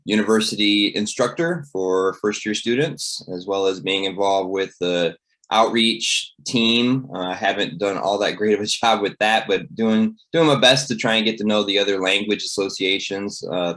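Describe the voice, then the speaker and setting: Male panel guest, lowish voice, informal, halting, self-deprecating delivery. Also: fairly bright tone, rough recording. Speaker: panel guest, university instructor. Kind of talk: casual self-introduction and chat.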